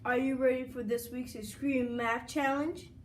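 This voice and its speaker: A woman's voice: high voice, flat delivery, normal speed, slight background noise. A young girl anchoring a school news broadcast, reading her script aloud.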